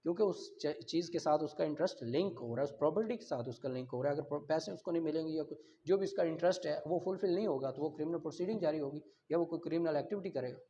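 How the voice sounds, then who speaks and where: A man's voice: a little high, fast, hardly any pauses, dark tone, dull audio, a little hiss or hum. A man with a Pakistani accent teaching in a classroom lecture style, reading out and explaining, repeating key terms and checking that listeners follow. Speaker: law teacher.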